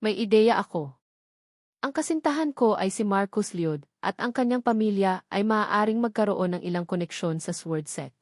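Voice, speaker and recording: Lady reading a careful young student woman in an audiobook, respectful, medium pitch, super dry. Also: lively intonation, normal speed, a little mellow, dry recording, close to an everyday voice. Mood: pleased. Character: cautious young female disciple, a famous celebrity.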